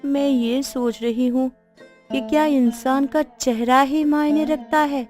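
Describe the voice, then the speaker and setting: A woman's voice: sits high, steady tone, slow pace, darkish tone. A woman playing sorrowful village women in a cartoon moral story, speaking in a plaintive, dramatic way. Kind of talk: Hindi cartoon story dialogue. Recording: background music under the voice. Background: music.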